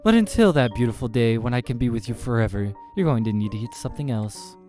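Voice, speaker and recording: A man's voice: mid-range voice, animated, quick pace, darkish tone, soft background music, voice pushed up, close to an everyday voice. A man voicing a doting, cheerful and fussy immortal boyfriend in a horror game. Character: gushing, lovestruck immortal boyfriend, cheerful and unfazed.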